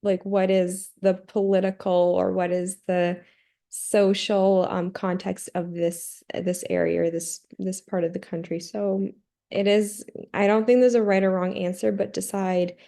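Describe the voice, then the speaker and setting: Candid young woman with a gravelly edge. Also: medium pitch, little pitch movement, a little slow, dark tone. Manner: candid, emphatic, casual. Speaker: emergency medicine resident. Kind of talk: webinar panel advice. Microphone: video call.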